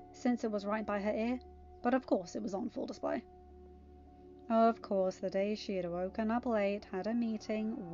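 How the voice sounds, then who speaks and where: A woman reads fiction aloud as an amateur narrator, in a slightly high voice. The recording is a little dull and lo-fi, with some music playing behind her. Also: a little mellow. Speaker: fan fiction reader.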